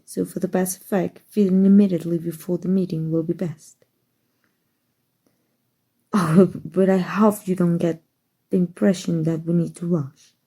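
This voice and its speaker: Woman acting as aristocratic female vampire, doting and gentle, lowish pitch, very mellow tone, ASMR roleplay. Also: exaggerated voice. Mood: tender, coaxing. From Mexico. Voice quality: breathy, soft. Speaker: voice actor.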